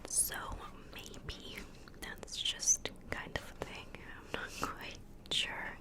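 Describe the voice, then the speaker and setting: A woman whispering a rambling ASMR catch-up close to the mic, a little congested from a cold, with lots of fillers. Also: slow. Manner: rambling, many fillers and restarts. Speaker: ASMR creator. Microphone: close-up microphone.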